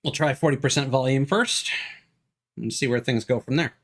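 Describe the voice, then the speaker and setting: A man chatting casually on a live game stream in a halting, polite, slightly formal way. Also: mid-range voice, fairly bright tone.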